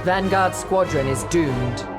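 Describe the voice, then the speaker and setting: Slow, imperious military commander. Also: female voice, sits low, over loud music. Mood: proud, commanding. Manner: measured, proud, menacing. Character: stern, zealous female Imperial commander rallying her squadron. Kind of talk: dramatic scripted military speech.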